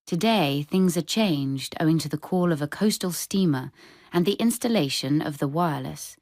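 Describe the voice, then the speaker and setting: A woman reading literary fiction for radio in a measured, descriptive storytelling manner. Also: low-pitched, lively intonation, darkish tone, no echo, clean studio sound. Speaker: short story reader. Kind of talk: scripted literary narration for radio drama.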